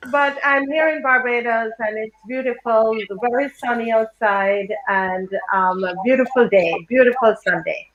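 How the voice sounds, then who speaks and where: A woman's voice: fairly high pitch, animated, slow pace, a bit bright, poor audio. A woman filmmaker joining an online chat as a guest, cheerful and bantering. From Jamaica. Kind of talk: casual online conversation.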